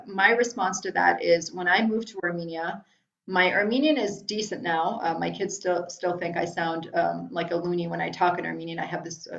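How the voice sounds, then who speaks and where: A woman's voice: on the low side, vocal fry, fairly bright tone. A middle-aged woman from Iran talking casually in a live webinar from home, answering viewer questions with many ums and restarts. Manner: chatty, frequent ums and restarts. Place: room at home. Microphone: video call. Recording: roomy, dull lo-fi video call audio.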